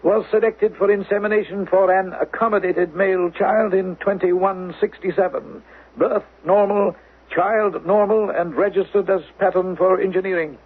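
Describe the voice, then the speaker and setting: A man's voice: high-pitched, fairly even intonation, moderate pace, husky. A man acting in a 1970s radio drama as a cold, officious controller of a futuristic security state, speaking formally and imperiously. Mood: clinical, reporting. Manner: formal, imperious, dry bureaucratic phrasing. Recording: thin, phone-like 1970s radio sound.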